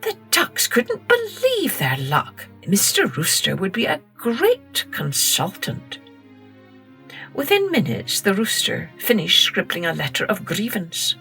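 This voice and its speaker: Woman storyteller, children's story, expressive, lively pitch, unhurried, soft music under. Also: creaky. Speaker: storyteller host.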